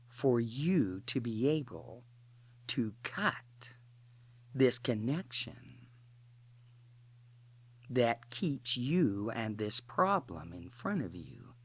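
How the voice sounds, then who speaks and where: Very slow-paced woman guiding a meditation. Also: rumbling low, breathy edge, dry recording. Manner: deliberate, short phrases with long pauses. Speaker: guided imagery facilitator. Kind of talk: guided imagery meditation, instructional.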